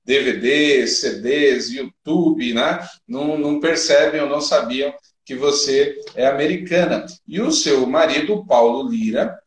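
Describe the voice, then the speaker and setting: A man's voice: medium pitch, measured pace, reverberant. A man casually interviewing a guest in a conversational way.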